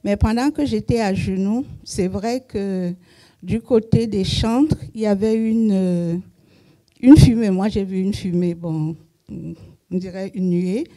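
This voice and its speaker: A woman giving a halting testimony in a church service, searching for words. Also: medium pitch, mellow. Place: church hall.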